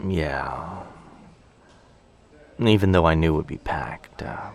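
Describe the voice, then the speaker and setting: A man playing a very shy, gentle young stranger in a boyfriend ASMR roleplay, speaking softly and haltingly, often near a whisper. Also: very deep voice, heavily acted. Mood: shy, warming up. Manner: hesitant, apologetic, halting, often dropping to a breathy near-whisper. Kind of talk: boyfriend ASMR roleplay, soft intimate acting.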